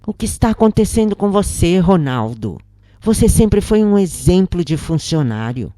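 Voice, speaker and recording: A concerned male boss offers encouragement in a story reading, played by a woman. The tone is mellow and dry, with animated intonation. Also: lowish voice, normal speed, dry recording. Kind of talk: dramatised first-person story reading.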